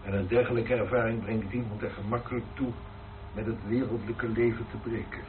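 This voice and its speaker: A man acting a probing senior churchman in a Dutch radio play, speaking in a measured, solemn, questioning way. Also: on the low side, steady tone, close to an everyday voice. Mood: solemn, reflective. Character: probing senior churchman, a priest's spiritual mentor. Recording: noisy, narrow phone-like old radio recording.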